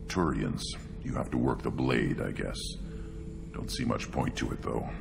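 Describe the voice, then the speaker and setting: A hulking alien brute from a video game, with a cavernously low voice thick with guttural gravel. He speaks slowly in blunt, brooding fragments, full of scorn for weakness, over clear background music. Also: full character voice. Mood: scornful. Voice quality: guttural, growling. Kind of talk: video game dialogue acting.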